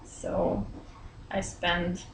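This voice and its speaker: Woman, 30s, from Belarus, friendly, laughs often, pauses for words, small office, webcam, roomy echo. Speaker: interviewee. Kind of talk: casual interview chat. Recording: roomy echo, faint room hum.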